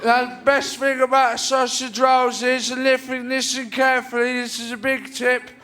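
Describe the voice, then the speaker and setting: Young man with a nasal voice, high-pitched. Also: some chatter behind. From England. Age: young adult. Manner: fast deadpan storytelling to a laughing audience. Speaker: stand-up comedian. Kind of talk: stand-up routine. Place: TV studio stage with live audience. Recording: loud studio audience laughter.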